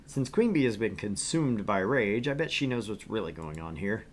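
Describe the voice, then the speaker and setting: Casting: male streamer-narrator, very lively pitch swings, mid-range, casual, faint noise behind. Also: normal speed. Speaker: co-host of a gaming stream, reading the game aloud. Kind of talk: animated narration of a visual novel with casual asides.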